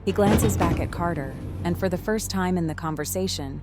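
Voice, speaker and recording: A woman's voice: lowish voice, moderate pace, over music. A woman reading scripted narration for a horror audio drama.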